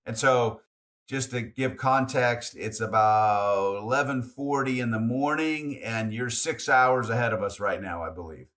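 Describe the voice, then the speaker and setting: A man hosts a podcast interview in a mid-range voice. He asks his questions in a relaxed, friendly way and steers the talk with easy prompts. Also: mid-range voice, a little slow. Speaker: podcast host. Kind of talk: conversational interviewing.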